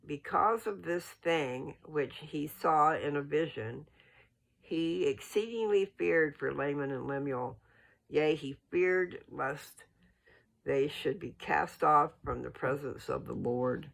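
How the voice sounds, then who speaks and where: Gravelly, creaky voice, informal and folksy. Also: medium pitch, a bit bright, low-quality recording. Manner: informal, folksy, checks in with "okay?". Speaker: host reading scripture aloud and explaining it. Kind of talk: scripture read aloud with casual, rambling commentary.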